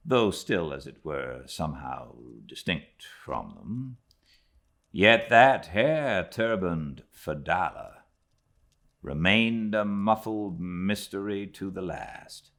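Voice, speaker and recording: A British man narrating classic literature like an audiobook, with measured, dramatic phrasing and deliberate pauses. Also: low voice, a bit bright. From Britain.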